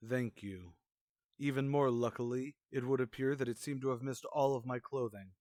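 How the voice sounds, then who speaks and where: A man's voice: medium pitch, moderate pace, a little mellow, dry recording, lightly acted. An actor playing a grumpy, sarcastic older male school principal in a radio comedy, speaking in a dry, withering, pompous way. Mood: dry sarcasm. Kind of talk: old-time radio comedy acting.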